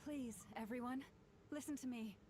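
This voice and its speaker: A woman voicing a gentle, solemn moon priestess in a video game, earnest and measured in her speeches. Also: fairly high pitch, lightly acted. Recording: game audio with noise behind.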